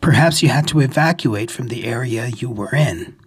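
A man narrating an explanatory screen-recording video as host, in a measured, deliberate manner with a husky, gravelly voice. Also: mid-range voice, soft background music. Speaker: ham radio video host.

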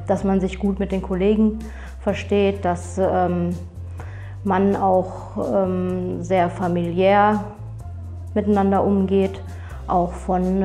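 A woman in her thirties, a geriatric nurse, answering interview questions sincerely with many pauses and fillers. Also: medium pitch, dark tone. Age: 30s. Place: indoors before a plain backdrop. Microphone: lapel mic.

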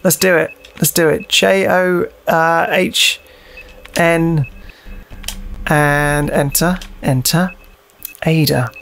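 A man's voice: fairly high pitch, steady tone, slow, vocal fry, long pauses, fairly bright tone, a little hiss or hum. A man playing a video game, commenting casually and reading notes aloud, often hesitating and repeating himself. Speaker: let's play host and player.

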